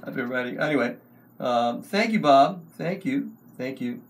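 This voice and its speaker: An older man hosting a casual livestream from a small room, talking slowly into a close webcam, rambling with many ums and restarts. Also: medium pitch, expressive. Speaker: online service host and songwriter. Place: small room before a wooden wall, indoors. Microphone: webcam at close range.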